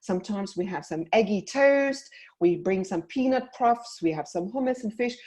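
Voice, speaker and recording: A woman with a South African accent, a paediatric dietitian presenting a webinar and advising parents in a brisk, explanatory way over a computer mic. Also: mid-range voice, lively intonation, a little mellow.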